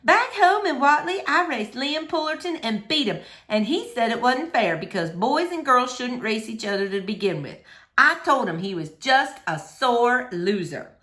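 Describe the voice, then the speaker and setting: A woman's voice: a little high, big pitch swings, moderate pace, a bit bright. A woman voicing an eager, plucky young girl in a children's novel read aloud, earnest and childlike. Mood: eager, proud.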